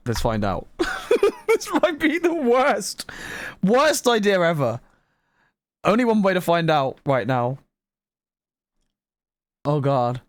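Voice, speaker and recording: A gaming host giving casual, excitable gameplay commentary, exclaiming and musing aloud. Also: mid-range voice, dry recording. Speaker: gaming host trying out a game.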